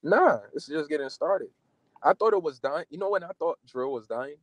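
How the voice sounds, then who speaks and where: A man's voice: on the high side, lively intonation, moderate pace, dry recording. A young man in his twenties from New Jersey, a podcast guest chatting casually over a video call from his car, with slangy talk and lots of fillers. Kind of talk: casual chat. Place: car interior. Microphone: phone camera at arm's length on video call.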